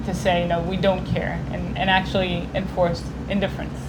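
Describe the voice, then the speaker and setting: A woman from Israel giving a public talk, speaking earnestly and often pausing to search for the right words. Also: fairly low pitch, level tone. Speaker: guest speaker. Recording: noisy room recording.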